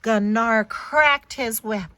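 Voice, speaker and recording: A high-pitched woman reads a children's story aloud. Her delivery is dramatic and emphatic, aimed at young listeners. The sound is close and dry. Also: slow pace, fairly bright tone, smooth, no echo. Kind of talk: expressive read-aloud for children.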